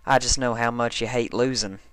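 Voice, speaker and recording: A man's voice: mid-range voice, fairly even intonation, brisk, a bit bright, smooth, dry recording, voice pushed up, lightly acted. A man voicing a confident, teasing female farm pony with a folksy manner in a story reading. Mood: friendly, confident.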